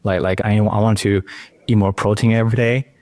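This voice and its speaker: A man's voice: fairly low pitch, fairly even intonation, fairly quick, darkish tone, no room sound. A man hosting a podcast, speaking in an informal, conversational way. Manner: informal, peppers speech with "like". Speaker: podcast host. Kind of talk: casual interview chat.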